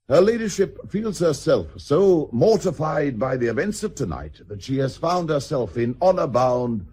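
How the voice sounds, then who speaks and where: An actor playing a courtly, discreet lord who speaks in an ornate, polite, formal way, in a radio drama. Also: male voice, mid-range voice, mellow, breathy edge.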